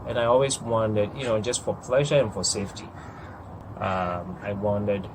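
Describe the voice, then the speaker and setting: A man's voice: lowish voice, fairly even intonation, normal speed. A man in his forties from Ghana, telling his personal story in an interview in a reflective, hesitant way with frequent ums. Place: outdoors. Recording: outdoor noise with passing airplanes.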